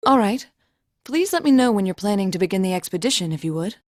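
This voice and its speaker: A woman's voice: medium pitch, lively intonation, quick pace, darkish tone, no room sound, clean studio sound, close to an everyday voice. An actress playing a poised, dutiful young female student in a video game, courteous and formal. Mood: composed. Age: young adult. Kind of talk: English anime-style game voice acting.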